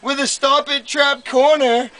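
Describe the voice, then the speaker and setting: High-voiced man slowly reading comic verse. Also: level tone, sharp tone. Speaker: poem reader. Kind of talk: reading a comic rhyming poem aloud to friends.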